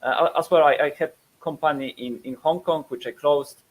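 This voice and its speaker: A man's voice: on the high side, steady tone, moderate pace, narrow, tinny audio. A man in his thirties from Poland, speaking with a Polish accent, presents a webinar with many fillers and repeated words.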